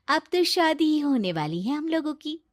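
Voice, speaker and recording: Woman putting on high, coy gold-digging girlfriend. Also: no echo, clean studio sound, full character voice. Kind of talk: Hindi cartoon story dialogue.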